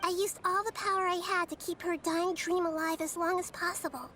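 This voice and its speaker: A woman voicing a gentle, wise, childlike girl deity in a video game, speaking in a thoughtful, slightly formal way. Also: very high voice, fairly even intonation, brisk, slight background noise. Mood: calm, explanatory.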